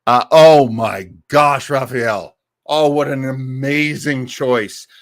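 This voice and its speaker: A man's voice: mid-range voice, slow pace, no room sound, studio quality. A man hosting a live listening show, chatting casually and enthusiastically with his audience. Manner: enthusiastic, effusive. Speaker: host of a live radio-mystery listening show. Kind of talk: casual livestream chat with listeners.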